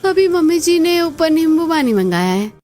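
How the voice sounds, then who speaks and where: A woman's voice: very high voice, very animated, moderate pace, a little mellow, a little hiss or hum. A woman voicing housewives and mothers-in-law in a family cartoon, chatty and exclamatory. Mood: weary complaining. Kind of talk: scripted cartoon dialogue.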